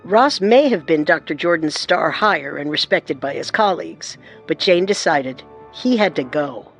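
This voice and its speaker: A woman narrating a true-crime radio drama in measured, suspenseful tones. Her delivery is scripted and even, with music running beneath it. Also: low-pitched, gravelly.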